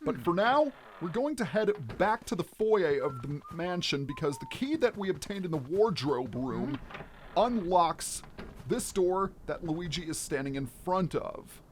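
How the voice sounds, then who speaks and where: Animated high-pitched male game commentator. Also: unhurried, a little hiss or hum. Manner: expressive, instructional. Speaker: let's play host.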